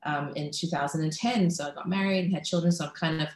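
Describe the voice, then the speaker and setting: A woman's voice: deep voice, steady tone, quick pace, echoey room. A pregnant woman in her thirties, from Malaysia and raised in New Zealand, giving a chatty talk as a guest speaker. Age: 30s. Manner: chatty, many ums and restarts. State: heavily pregnant. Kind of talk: casual talk on a video call.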